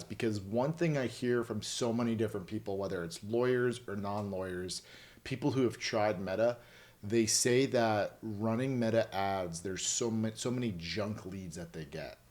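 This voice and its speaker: A young man hosting a podcast in a studio, asking questions in a relaxed way with lots of fillers. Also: fairly low pitch. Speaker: podcast host. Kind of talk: casual interview chat.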